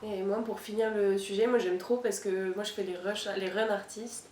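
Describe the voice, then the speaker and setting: A woman telling stories casually, in the manner of a relaxed group interview. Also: mid-range voice, moderate pace, distant mic. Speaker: artist driver for event crew. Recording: echoey room, faint noise.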